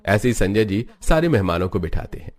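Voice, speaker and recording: A man's voice: on the low side, big pitch swings, a little fast, darkish tone. A man narrating a scripted family cartoon story. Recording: background music under the voice.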